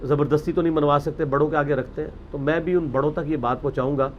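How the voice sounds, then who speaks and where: High-voiced man lecturing emphatically. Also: steady tone, fast, highs cut off, slight background noise. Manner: argumentative, emphatic, rhetorical questions. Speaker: religious speaker. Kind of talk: lecture on religious practice.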